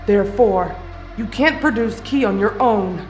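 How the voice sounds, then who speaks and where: A woman playing a cold, vengeful young female warrior in an anime fan drama, speaking deliberately and accusingly. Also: medium pitch, close to an everyday voice. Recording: echoey voice with faint music.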